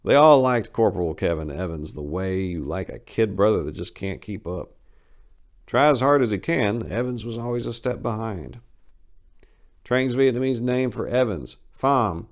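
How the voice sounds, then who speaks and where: Low-voiced man, dramatic, widely swinging narration. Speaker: story narrator. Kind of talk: dramatic reading of war fiction.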